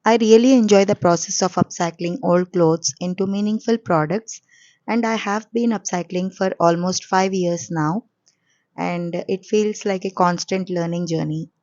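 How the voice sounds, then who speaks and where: A woman hosting a sewing channel, explaining each step calmly in a voice-over, her voice coarse and hoarse from a cold. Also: lowish voice, moderate pace, dry recording, highs cut off.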